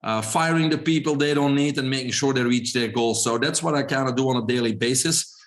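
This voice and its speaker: A man in his forties from Belgium with a Belgian accent, a podcast guest who tells his story in a relaxed way with frequent fillers. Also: mid-range voice, fairly quick. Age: 40s. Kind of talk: podcast interview.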